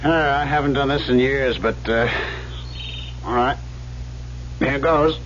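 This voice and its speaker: An actor playing a watchful, wry man in an old-time radio drama, measured and low-key, with a gravelly voice. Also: noisy background, lightly acted. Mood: reluctant, casual. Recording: dull old radio recording.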